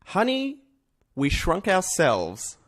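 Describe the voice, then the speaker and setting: A man's voice: high-pitched, huge pitch swings, takes it slowly, fairly bright tone. A man hosting a podcast, chatting casually and jokily about films. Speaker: podcast host.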